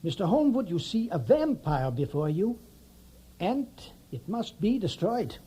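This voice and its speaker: A man's voice: sits high, sing-song, a little slow, mellow, a little hiss or hum. A man playing a solemn, commanding vampire hunter in a radio drama, with a grave, emphatic, theatrical delivery. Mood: urgent, commanding.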